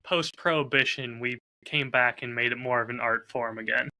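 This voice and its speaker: A man chatting casually as a podcast co-host in a low-key, measured, slightly hedging way. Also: fairly even intonation, bright, forceful tone. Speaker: podcast co-host and audio drama creator.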